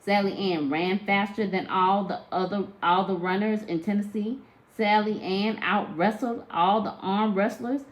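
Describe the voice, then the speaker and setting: Expressive woman reading a story aloud. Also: medium pitch, a little slow, few pauses, low-quality recording. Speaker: teacher reading aloud to children. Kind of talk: storybook read-aloud narration of a tall tale.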